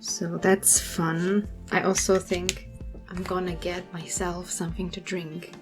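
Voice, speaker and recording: A woman hosting a draw-along at her art desk, chatting casually with lots of fillers, her voice a little hoarse. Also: fairly low pitch, slow pace, music in the background. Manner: relaxed, rambling, many fillers. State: slightly hoarse. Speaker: art channel host. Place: home art desk, indoors.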